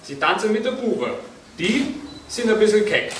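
A man's voice: high voice, sing-song, moderate pace, a bit bright. A young man with a Banat Swabian accent reciting a rhyming dialect poem at a lectern, recorded from far off in a large hall. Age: young adult. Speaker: poetry reciter. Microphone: far camera. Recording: roomy hall from far off, noise.

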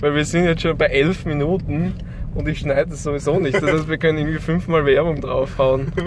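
A young Austrian man with a fairly high voice rambles casually from inside a moving car. He complains in a joking, self-mocking way, with clear road noise behind him. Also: fairly even intonation, fairly quick. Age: young adult. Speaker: vlogger filming from the passenger seat. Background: engine and traffic noise.

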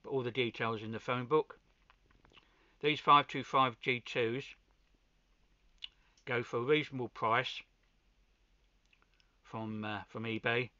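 A man's voice: medium pitch, steady tone, takes it slowly, long pauses, pushed and bright, dry recording. A man giving a casual, unscripted equipment walkthrough as a hobbyist, often hesitating and repeating his words. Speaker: amateur radio hobbyist presenting a demo. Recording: dull, lo-fi sound.

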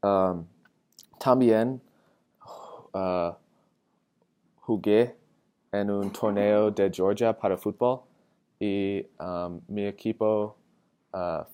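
An eighteen-year-old American man, a native English speaker, giving a halting self-introduction in learner's Spanish and searching for words. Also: lowish voice, steady tone, dark tone, clean tone. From Atlanta, United States. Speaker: college student. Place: indoor room with tall windows. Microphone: webcam framing with wired earphones.

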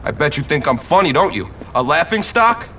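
Agitated, swooping teenage greaser boss. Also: male voice, on the high side, sing-song, fairly quick, pushed and bright, slight background noise. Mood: accusing, paranoid. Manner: agitated, melodramatic, tough-talking. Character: jealous, paranoid teenage greaser gang leader. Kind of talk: video game cutscene acting.

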